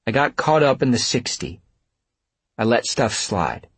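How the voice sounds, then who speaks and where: A man's voice: mid-range voice, big pitch swings, measured pace, a bit bright, husky, dry recording, voice pushed up, exaggerated voice. Audiobook role: male narrator as harried young woman restaurant owner, impatient and snappish, voice lifted well above his own. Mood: defensive. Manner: impatient, clipped, defensive.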